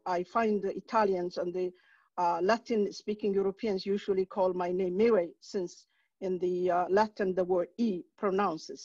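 A woman's voice: medium pitch, a little mellow, dry recording. Somali-accented woman academic, middle-aged, hesitant lecturer, muffled headset call sound. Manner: halting, many fillers and restarts. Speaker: guest lecturer, professor. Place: home room, indoors. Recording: dull dry video-call audio.

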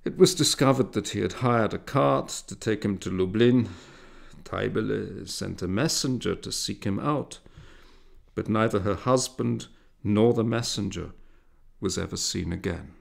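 A man reading a literary story aloud as a narrator, in a measured, expressive way with dramatic emphasis. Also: fairly low pitch, vocal fry. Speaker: audiobook narrator.

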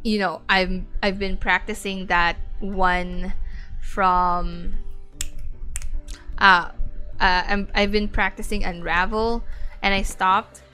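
A woman streamer chatting casually with her viewers, rambling and laughing often, with a slightly raspy voice. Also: medium pitch, little pitch movement, slow, long pauses, sharp tone, soft background music. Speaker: VTuber streamer.

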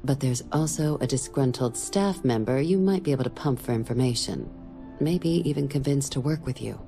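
An actress playing a composed woman diplomatic official in a game, giving a briefing in a measured, formal, dry way. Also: a bass voice, dark tone, faint music behind. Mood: businesslike. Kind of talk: scripted game dialogue, mission briefing.

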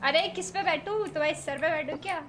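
A woman's voice: high-pitched, quick pace, fairly bright tone, a little hiss or hum. A young woman chatting casually and teasingly on a game livestream from an indoor room, speaking into a close mic. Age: young adult. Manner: playful, teasing. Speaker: game streamer. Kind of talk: casual playful livestream chat while gaming.

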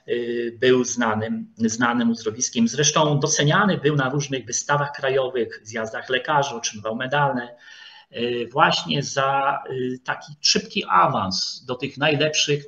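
A man giving an informal history lecture, speaking haltingly and repeating words as he goes. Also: mid-range voice, a bit bright. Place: online video call presentation with screen share. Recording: dull, lo-fi online call audio.

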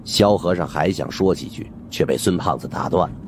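A man's voice: low voice, a little fast, background noise. A man narrating an audiobook as dramatic, scripted storytelling.

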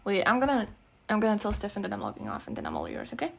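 A woman's voice: medium pitch, wildly swooping pitch, racing, creaky, a bit bright, a little hiss or hum. A young woman speaking in a casual, intimate girlfriend roleplay, teasing, slangy and affectionate. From Eastern Europe. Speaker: ASMR roleplay performer playing a girlfriend.

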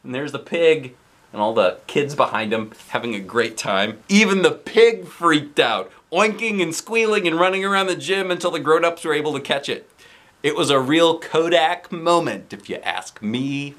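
Animated, bright-voiced man reading to children. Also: a little high, normal speed. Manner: expressive, playful, exaggerated delivery. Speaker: children's book reader. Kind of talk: animated read-aloud of a children's story, with asides to young viewers. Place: indoors, seated on a couch.

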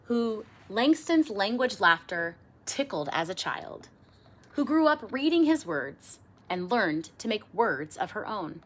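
A middle-aged woman reading a children's picture book aloud expressively, with theatrical emphasis and drawn-out words. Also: mid-range voice, sing-song, slightly rough. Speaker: storybook reader. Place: small indoor room with bookshelves.

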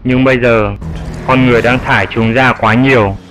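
A man's voice: mid-range voice, fairly even intonation, normal speed, fairly bright tone, slight background noise, natural voice. A man voicing a wise, elderly grandfather bat who patiently explains things in an educational cartoon dub. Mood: patient, explaining. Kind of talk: dubbed educational cartoon dialogue.

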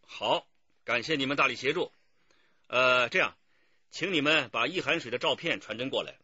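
An actor playing a decisive, authoritative police detective chief giving orders in a radio drama. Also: male voice, medium pitch, moderate pace, sharp tone, husky, dry recording, dull audio. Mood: courteous, brisk.

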